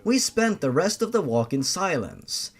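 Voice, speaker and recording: A man's voice: fairly high pitch, sing-song, measured pace, breathy edge. A man giving a scripted first-person narration of a fan-fiction style story.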